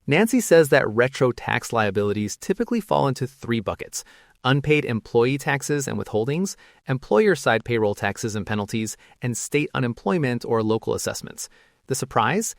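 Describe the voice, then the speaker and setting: A man's voice: mid-range voice, sing-song, moderate pace, creaky, no room sound, studio quality. A man hosting a podcast, reading a scripted summary in an orderly, explanatory way.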